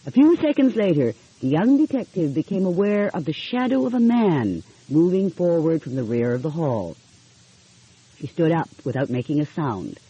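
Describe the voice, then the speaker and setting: A woman narrating an audiobook in an expressive storytelling style. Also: deep voice, moderate pace, breathy edge. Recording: dull, lo-fi audio.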